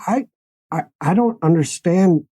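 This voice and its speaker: A male podcast host with a husky, mellow voice, chatting with his guests in a folksy way. He sounds curious and conversational as he asks his questions. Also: high-pitched, lively intonation, measured pace. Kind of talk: conversational interview.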